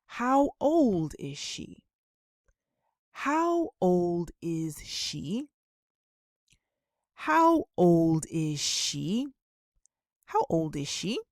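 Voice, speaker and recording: A woman teaching a beginner English lesson, deliberately enunciating and repeating model questions and answers in an instructional way. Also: on the low side, very animated, no room sound, clean studio sound.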